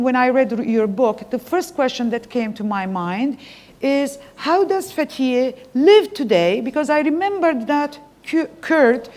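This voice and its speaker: A woman from Lebanon, speaking as an interviewer at a live public event. Her voice sits a little high and she talks in an earnest, reflective way, often pausing as she searches for the right words. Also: a little mellow.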